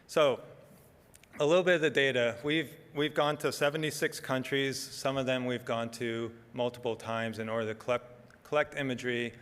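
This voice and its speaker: A soft-spoken middle-aged man giving a relaxed conference talk, with frequent ums as he walks through slides. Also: medium pitch, normal speed, clean tone, long reverb tail. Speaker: conference speaker. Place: conference hall.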